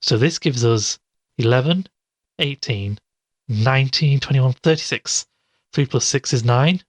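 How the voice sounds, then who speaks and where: A man's voice: mid-range voice, a little slow, no room sound, close to an everyday voice. Actor as a dryly sceptical man puzzling aloud, close-miked. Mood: musing, sceptical. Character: sceptical male amateur investigator podcaster puzzling aloud. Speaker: podcast host character. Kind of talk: audio drama monologue, musing investigation.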